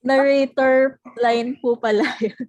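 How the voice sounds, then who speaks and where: A woman's voice: high-pitched, steady tone, measured pace, darkish tone. A Filipino woman speaking politely and casually as she runs an online meeting, mixing English and Tagalog. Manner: polite and deferential, switches between English and Tagalog. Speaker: meeting organizer directing a table read. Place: online video call.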